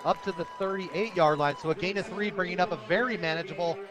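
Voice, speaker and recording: A man with an American accent calling a live high school football game with an emphatic, excitable sportscaster delivery. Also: fast. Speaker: play-by-play radio announcer. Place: outdoor football stadium broadcast.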